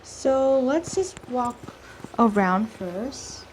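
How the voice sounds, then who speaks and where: A woman giving casual playthrough commentary as a game streamer, halting and often repeating words. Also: fairly high pitch, expressive, darkish tone, a little hiss or hum, poor audio. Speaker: gaming streamer. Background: faint game music.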